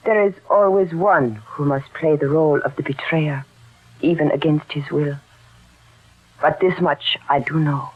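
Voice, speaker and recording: An actor playing a grave, brooding man in a 1940s radio mystery, speaking solemnly and deliberately on a muffled old recording. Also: on the high side, breathy edge. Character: grave, brooding man quoting scripture with quiet dread.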